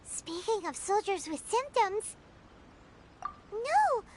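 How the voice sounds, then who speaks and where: An actress playing a tiny, childlike fairy companion in a video game, squeaky, excitable and anxious. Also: female voice, slow pace, slight background noise, heavily acted. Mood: worried. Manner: excitable, worried. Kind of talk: cartoonish video game voice acting. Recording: game music under the voice.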